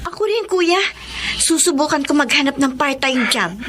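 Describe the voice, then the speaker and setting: A woman's voice: high-pitched, expressive, normal speed, fairly bright tone, slight background noise. A woman playing an anxious, devoted young student and little sister in a Tagalog radio drama, plaintive and emotional. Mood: determined.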